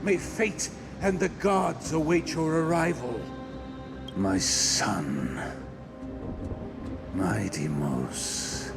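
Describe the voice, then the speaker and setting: Slow, grandiose warrior king. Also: mid-range voice, creaky. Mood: solemn, cold. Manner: grandiose, solemn, formal archaic speech. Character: ruthless, brooding warrior king and father. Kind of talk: dramatic fantasy game voice acting. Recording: music underneath.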